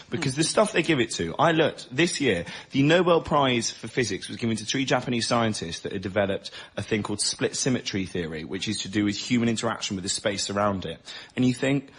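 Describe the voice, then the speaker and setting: A man's voice: lowish voice, husky. A man delivering an indignant, emphatic comic rant as a panel show guest.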